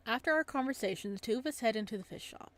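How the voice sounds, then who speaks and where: A woman's voice: on the high side, lively intonation, fast talker, dry recording. Giggly woman streamer reading aloud. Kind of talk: casual playthrough reactions and read-aloud narration.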